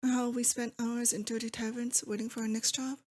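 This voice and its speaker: Woman acting a soft, dark-voiced doting demon lady. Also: fairly high pitch, little pitch movement, natural voice. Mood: wistful. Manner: doting, wistful, unhurried, addressing a captive sweetheart. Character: possessive, nostalgic young demon lord woman, affectionate captor. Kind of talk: roleplay ASMR, intimate scripted monologue to the listener.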